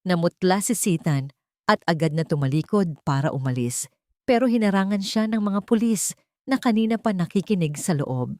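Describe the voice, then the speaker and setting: A woman's voice: lowish voice, expressive, moderate pace, warm tone, no echo, clean studio sound. A woman reading scripted dramatic narration as a storyteller.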